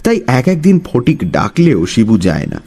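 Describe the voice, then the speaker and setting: A man telling a story as a dramatic, scripted narration in a husky voice. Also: mid-range voice, huge pitch swings, moderate pace, mellow.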